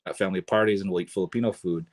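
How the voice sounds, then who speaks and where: A man speaking as a guest on a podcast, relaxed and chatty, leaning on lots of fillers. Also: deep voice, rapid-fire. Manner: relaxed, filler-heavy, sprinkled with "you know". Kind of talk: casual conversation.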